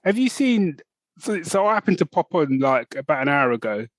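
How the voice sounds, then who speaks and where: A man's voice: fairly high pitch, big pitch swings, normal speed, no echo. A man co-hosting a podcast, teasing his partner in playful casual banter. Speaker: podcast co-host. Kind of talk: casual chat.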